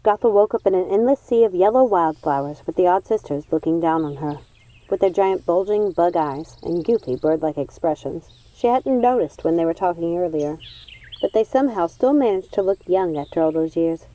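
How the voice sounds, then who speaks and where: Mellow, animated woman narrating a storybook. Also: fairly low pitch, moderate pace, rough recording. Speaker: audiobook narrator.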